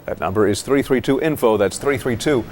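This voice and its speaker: A man's voice: mid-range voice, fairly quick, darkish tone, a little hiss or hum. A man with an American accent reading scripted television news as an anchor.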